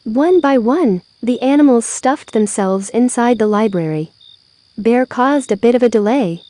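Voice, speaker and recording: A woman narrating a children's story aloud, with expressive, deliberate phrasing. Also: a little high, no echo.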